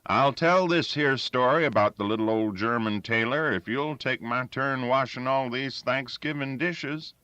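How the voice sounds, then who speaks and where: A man's voice: mid-range voice, unhurried, hardly any pauses, sharp tone, dry recording, dull audio, voice pushed up. A man voicing a crafty old backwoods storyteller in a folksy rural dialect. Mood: wheedling. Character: crafty old backwoods male yarn-spinner.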